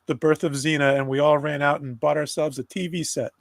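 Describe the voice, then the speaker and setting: Male podcaster, slightly high voice, close dry sound, casual mock-serious humor. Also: fairly even intonation, fairly quick, creaky, dry recording. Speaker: podcaster, panel guest.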